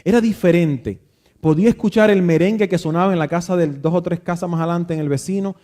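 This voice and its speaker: A man with a Dominican accent, reading scripture aloud and then telling a reflective story about growing up, pausing and restarting now and then. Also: sits high, mellow. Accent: Dominican Spanish. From Dominican Republic. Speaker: preacher. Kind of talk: Bible reading and devotional talk.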